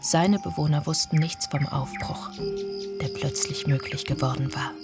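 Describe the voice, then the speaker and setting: Radio drama narrator: observant and brooding, measured literary delivery, loud music bed. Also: female voice, deep voice, fairly even intonation. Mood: brooding, reflective. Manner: measured, descriptive storytelling. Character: observant, brooding village narrator recalling events.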